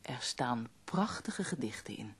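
An actress in a radio play voicing a loyal, sympathetic nineteenth-century lady with formal, measured speech. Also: rumbling low, very animated, breathy edge, whispered, exaggerated voice. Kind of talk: Dutch radio play acting.

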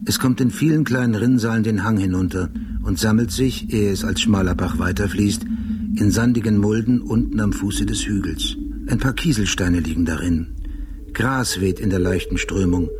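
An actor playing a detached, sober storyteller in a radio drama, narrating in a measured, precise, descriptive way. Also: male voice, fairly low pitch, very noisy, close to an everyday voice. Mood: calm, observant.

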